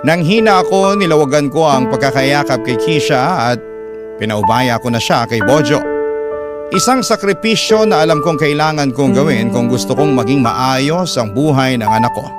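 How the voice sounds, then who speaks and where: A man's voice: medium pitch, expressive, moderate pace, close to an everyday voice. A man narrating as a remorseful parent in an emotional, confessional Filipino radio story. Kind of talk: dramatic first-person scripted narration. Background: guitar music.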